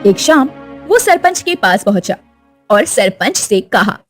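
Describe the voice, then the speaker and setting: A woman narrating a cartoon moral story in an expressive storytelling manner. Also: medium pitch, measured pace, faint music behind.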